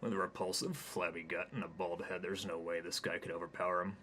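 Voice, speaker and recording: Low-voiced guy reading his own silly story, deadpan, a bit of hum. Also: fast.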